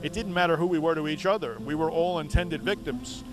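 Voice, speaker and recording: A man in his fifties, calmly and reflectively talking in an interview and asking questions. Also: fairly high pitch, a little fast, music in the background, poor audio. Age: 50s. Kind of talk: recorded interview.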